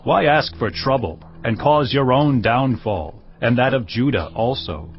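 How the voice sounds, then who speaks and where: A man's voice: medium pitch, lively intonation, unhurried. An actor playing a proud king who sternly lectures a rival in an audio drama. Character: proud older male king, warning and scornful. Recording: slightly muffled with music underneath.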